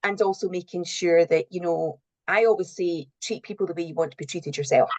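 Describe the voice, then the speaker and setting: A woman's voice: on the low side, fairly quick, darkish tone. A middle-aged woman giving earnest, explanatory answers as a guest in a conversational interview. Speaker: interview guest, recruitment and HR professional.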